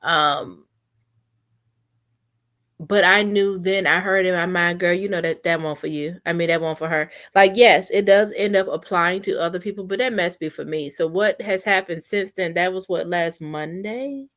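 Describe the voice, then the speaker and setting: Woman, low voice, mildly congested, casual vlog chat, unscripted rambling, computer mic. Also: normal speed, a bit bright. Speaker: vlogger practicing for a podcast. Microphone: built-in computer mic, no external microphone.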